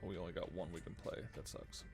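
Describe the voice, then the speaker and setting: A man with a fairly low, creaky voice commentates casually on a game stream. He mutters his thoughts aloud as he plays, with music clearly behind him. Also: level tone, fast talker. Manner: thinking aloud, muttering to himself. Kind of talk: casual live gameplay commentary.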